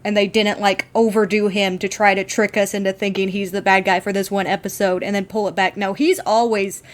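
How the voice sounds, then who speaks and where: A woman's voice: medium pitch, flat delivery, a little fast. A woman co-hosting a podcast, talking casually and emphatically as an enthusiastic fan. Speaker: podcast co-host.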